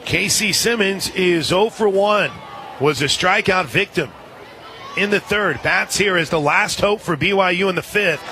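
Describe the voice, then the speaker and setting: A man doing live radio play-by-play of softball, excitable, in short punchy calls from an outdoor stadium. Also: sits high, measured pace. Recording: live outdoor stadium broadcast, crowd noise. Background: loud crowd and ballpark ambience.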